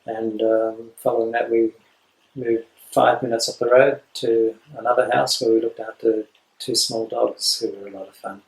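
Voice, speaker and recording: An Australian man in his late fifties talking about house sitting, rambling with many pauses and dry jokes. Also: medium pitch, little pitch movement, gravelly, reverberant, poor audio. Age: 50s. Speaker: house sitter. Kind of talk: casual self-introduction video.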